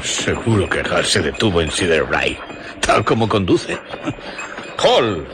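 Gossipy village man, wildly animated. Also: sits low, takes it slowly, noisy background, exaggerated voice. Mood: gossipy, suspicious. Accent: Spain. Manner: insinuating, emphatic repetitions. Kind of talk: radio drama acting.

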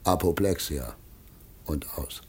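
An actor playing a crude, greedy, blustering husband with theatrical delivery in a classic radio play. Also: male voice, rumbling low, slow, darkish tone, slight background noise. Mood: muttered irritation. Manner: theatrical, muttering asides, hesitant when ingratiating.

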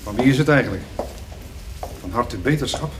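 An actor playing a brusque, dutiful young farmer in a radio drama, answering in clipped, offhand phrases. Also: male voice, medium pitch, lively intonation, moderate pace, background noise, lightly acted. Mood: scornful. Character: brusque young farmer son, short-tempered but dutiful.

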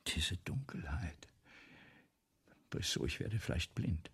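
An actor playing an ailing, embittered, self-dramatizing playwright in a German radio play, speaking theatrically in rhetorical repetitions, with a husky, gravelly voice that drops to whispers. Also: male voice, sits low, lively intonation, slow, full character voice. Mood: plaintive, fearful. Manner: theatrical, rhetorical, building repetitions then sudden hushes.

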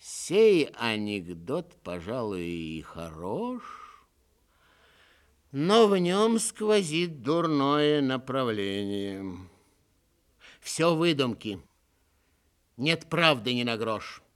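A man's voice: mid-range voice, big pitch swings, drawn-out words, long pauses, smooth. A man voicing a skeptical, self-righteous critic, fussy and indignant, in theatrical verse recitation. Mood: disapproving. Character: skeptical, disapproving, self-righteous reader grumbling objections.

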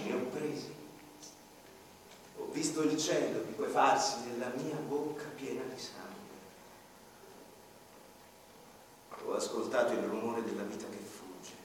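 An actor playing a dead, rebellious and embittered man of eighty-four in a dramatic, emphatic radio theatre monologue with deliberate pauses. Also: mid-range voice, a little hiss or hum, lightly acted. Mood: pained. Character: dead, rebellious, embittered 84-year-old man, murdered, narrating his life. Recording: very echoey hall, distant microphone. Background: faint violin music.

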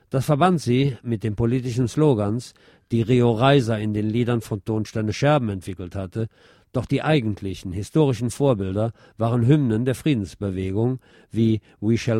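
Mellow-voiced man reading a scripted essay. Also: on the low side, no echo, clean studio sound. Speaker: narrator reading an essay on German music history. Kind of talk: scripted narration, reading aloud.

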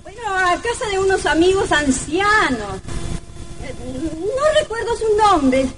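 Very shrill, fussy neighbour lady. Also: very animated, slow pace, a bit bright, heavily acted, rough recording. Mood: polite, chatty. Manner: shrill, singsong, dithering. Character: chatty, fussy neighbour lady, eager and flustered. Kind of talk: old radio drama acting. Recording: old radio drama recording.

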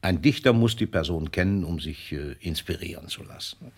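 An old poet in a radio drama. His voice is low and husky, with measured, lyrical phrasing. He comes across as wry and indulgent, like a worldly uncle. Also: lively intonation, exaggerated voice. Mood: gently impatient. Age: older. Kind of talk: German radio play acting.

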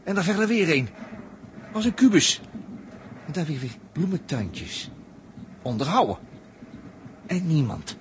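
An actor playing a wry, jittery captive astronaut in a 1960s radio play, hesitant and colloquial with stammered repetitions. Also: male voice, fairly high pitch, big pitch swings, a little slow, pauses often. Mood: bewildered. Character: wry, jittery male astronaut taken prisoner. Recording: noisy old radio recording.

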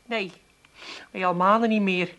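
An actress playing a sharp-tongued, nagging Amsterdam housewife in a radio play, with a sarcastic, scolding manner. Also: female voice, mid-range voice, fairly even intonation, moderate pace, muffled recording, lightly acted.

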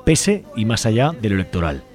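A man's voice: on the low side, big pitch swings, a little fast, darkish tone. A man with a Spain Spanish accent co-hosting a radio show, reading a scripted political editorial. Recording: music bed behind the voice. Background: music.

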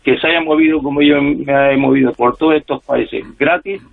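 A man's voice: on the high side, steady tone, normal speed, vocal fry. Older man rambling over a phone line. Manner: rambling, many fillers and restarts. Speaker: interview guest, former freelance spy. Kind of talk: storytelling in a radio interview. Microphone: telephone call.

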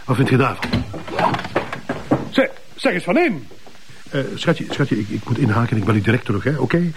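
An actor playing a pompous, evasive police chief with a Flemish accent and a bossy manner. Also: male voice, mid-range voice, big pitch swings, normal speed, a little hiss or hum, natural voice. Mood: coaxing, flustered. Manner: bossy, defensive, interjecting tags. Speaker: actor in a police drama series.

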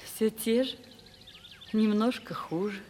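An actress playing a poor young woman in love, resigned, tender and quietly firm, in a 1970s Russian radio play. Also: mid-range voice, slow pace, slight background noise, natural voice. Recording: reverberant studio radio play recording.

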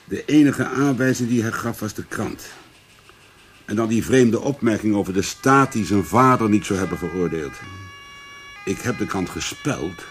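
A man acting a preoccupied, earnest investigator in a radio drama, husky and conversational. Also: lowish voice, animated, moderate pace, slight background noise, lightly acted.

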